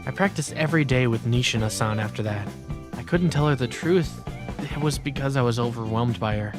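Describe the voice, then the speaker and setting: A man's voice: medium pitch, fairly quick, close to an everyday voice. A man voicing an introverted, resigned teenage schoolboy in an anime-style dub, mild and hesitant. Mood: reflective. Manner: mild, hesitant, stammers when flustered. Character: introverted teenage schoolboy narrator, secretly capable, meek and resigned. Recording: background music under voice.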